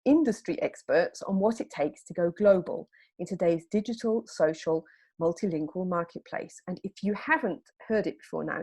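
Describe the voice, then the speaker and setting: A woman, hosting an interview. She introduces her guest and asks questions in a measured, polite way. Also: on the low side, a little mellow, husky. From United Kingdom. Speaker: podcast interviewer.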